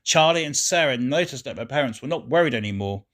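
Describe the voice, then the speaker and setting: Man reading with very lively pitch swings. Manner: expressive, deliberate read-aloud delivery. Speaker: reader of a children's story. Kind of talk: scripted story narration for children.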